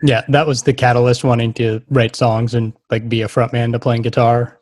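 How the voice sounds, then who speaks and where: Guy podcast host, casual short questions, medium pitch, dry sound. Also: little pitch movement, normal speed, a little mellow, no room sound. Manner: brief questions and short affirming replies. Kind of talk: casual interview.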